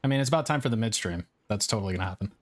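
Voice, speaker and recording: A man's voice: on the low side, animated, racing. Sneering, foul-mouthed male commentator. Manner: profane, sneering, blunt. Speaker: stream commentator. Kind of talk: mocking commentary over a restream.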